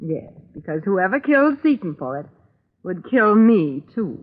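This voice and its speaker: A woman playing a cool-headed, blunt young murder suspect in a 1940s radio thriller, brisk and direct, with an American accent. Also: medium pitch, very animated, heavy background noise, lightly acted. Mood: tense, secretive. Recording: muffled, band-limited 1940s radio recording.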